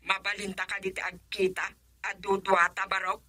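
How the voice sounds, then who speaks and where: A woman's voice: mid-range voice, level tone, normal speed, sharp tone, no room sound. A woman playing an aggrieved, resolute mother in a radio drama, speaking in a firm, pleading way. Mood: grave.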